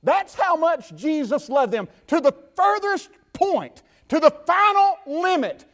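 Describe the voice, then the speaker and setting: A man with a Southern US accent preaching a sermon from the pulpit, building to fervent shouts and dropping to quiet talk. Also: very high voice, very animated, measured pace. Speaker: pastor. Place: church.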